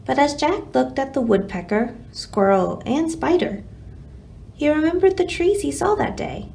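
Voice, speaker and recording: A woman hosting a library storytime, reading a children's picture book aloud in an expressive, dramatic way. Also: sits high, a little slow, creaky, slight background noise.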